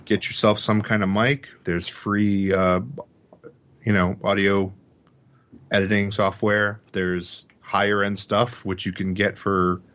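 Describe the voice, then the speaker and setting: A man's voice: fairly low pitch, level tone, slow pace, a bit bright. A man who produces audio dramas, answering casually and a little self-deprecating.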